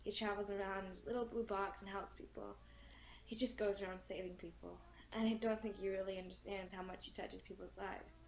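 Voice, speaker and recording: A woman's voice: mid-range voice, fairly even intonation, a little fast, natural voice, poor audio. A woman playing the wistful, lonely daughter of a time-travelling hero, speaking earnestly and reflectively over some background noise.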